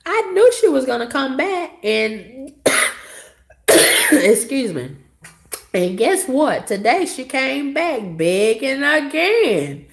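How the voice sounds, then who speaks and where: Hoarse woman venting bluntly. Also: high voice, sing-song, takes it slowly, long pauses. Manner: blunt, profane, dismissive. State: hoarse, coughing. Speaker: vlogger. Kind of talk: casual storytelling to viewers.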